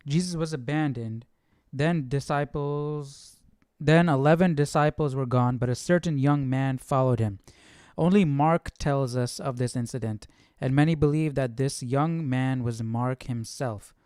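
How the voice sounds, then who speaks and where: Male study host: clear smooth mid-range voice, informal reflective commentary, dry recording. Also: medium pitch, a little slow, darkish tone, no echo. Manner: informal, musing aloud.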